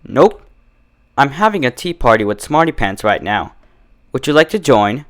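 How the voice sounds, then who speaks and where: A man's voice: mid-range voice, very animated, moderate pace, lightly acted. A man voicing an unhinged young female pony in a storybook reading, with an emphatic, girlish delivery. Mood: chirpy, unhinged. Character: young female pony, unhinged, chirpy, desperately cheerful or frantic.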